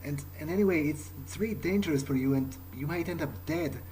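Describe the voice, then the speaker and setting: Man, gamer roleplay monologue, halting, repeated words, faint noise and ambience behind. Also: fairly high pitch, darkish tone, rough recording. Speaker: gamer roleplaying his character. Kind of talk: in-character game playthrough, improvised monologue.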